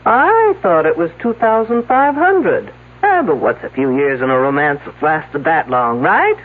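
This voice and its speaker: Wry, animated woman detective. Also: low-pitched, unhurried, heavily acted. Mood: wry, sardonic. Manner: dry, sarcastic, questioning. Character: wry, skeptical woman detective, brisk and persistent. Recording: noisy, narrow phone-like old broadcast audio.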